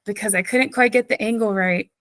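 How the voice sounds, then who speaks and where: Woman pastor from southeastern North Carolina, a podcast guest; easy storyteller who laughs often, mid-pitched voice, dry close sound. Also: brisk, fairly bright tone, no echo. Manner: chatty, laughs often, many fillers. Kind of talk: casual interview storytelling.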